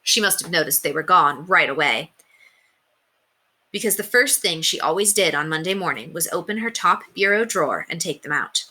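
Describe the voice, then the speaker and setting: Bright, expressive woman storyteller, lowish voice. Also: moderate pace, breathy edge. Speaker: storyteller reading aloud to children. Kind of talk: expressive scripted narration of a children's book.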